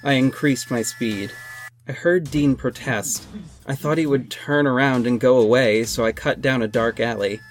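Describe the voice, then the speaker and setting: A man's voice: mid-range voice, steady tone, normal speed, close to an everyday voice, poor audio. An actor playing an earnest masked superhero who narrates his own adventures in an audio drama. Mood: earnest, dramatic. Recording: music under the voice. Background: music.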